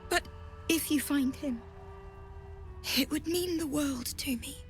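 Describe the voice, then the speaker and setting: A woman playing a frightened, grieving young refugee in a video game, tearful and halting. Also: sits high, faint music behind. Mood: fragile hope. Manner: halting, fragile. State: tearful, sighing. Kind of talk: dramatic video game acting.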